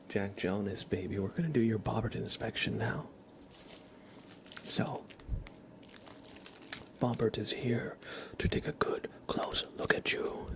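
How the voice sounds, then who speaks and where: A man hosts a live ASMR stream in a low, hushed voice. He takes his time, slipping often into whispers. His delivery is playful and deadpan, like a silly roleplay kept very quiet. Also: vocal fry.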